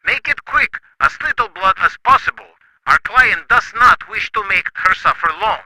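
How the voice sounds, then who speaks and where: A man voicing a cold criminal boss in a radio play, his voice high and very bright. He lays out orders in a flat, businesslike, commanding way. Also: moderate pace, slight background noise, exaggerated voice, rough recording. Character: cold male criminal giving murder orders.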